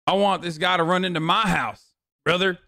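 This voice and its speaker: A man's voice: high-pitched, fairly even intonation, moderate pace, gravelly, pushed and bright, dry recording, studio quality. A man doing sarcastic, incredulous live stream commentary, repeating himself for emphasis and swearing freely. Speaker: streamer reacting to a recorded call.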